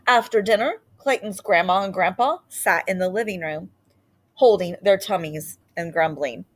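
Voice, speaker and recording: A woman reading a children's picture book aloud in an expressive storytelling style. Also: on the low side, moderate pace.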